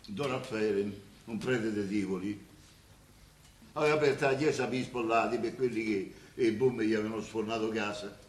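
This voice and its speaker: An actor in a radio play voicing a dazed, plain-spoken man with a Roman accent who tells his story haltingly. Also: lowish voice, fairly even intonation, natural voice. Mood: plain recounting. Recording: echoey, faintly noisy room recording.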